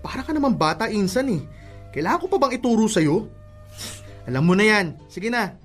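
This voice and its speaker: Animated high-pitched young Filipino man, teasing cousin acted by a man. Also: fairly quick, soft background music, exaggerated voice. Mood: urging, scolding. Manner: animated, ribbing, bossy. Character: teasing, pushy young male cousin giving love advice. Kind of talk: Filipino radio drama acting.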